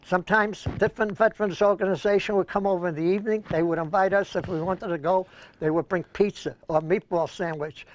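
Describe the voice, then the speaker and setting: A man's voice: sits high, rough recording. An older man with a Brooklyn accent, co-hosting a senior news show and reminiscing in a rambling, anecdotal way. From Brooklyn, New York, USA. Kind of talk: casual reminiscing chat.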